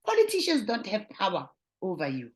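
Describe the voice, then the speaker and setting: A woman's voice: mid-range voice, huge pitch swings, brisk. A woman with a Nigerian accent giving political commentary to viewers, insistent and repeating her points for emphasis. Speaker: video blogger commentator.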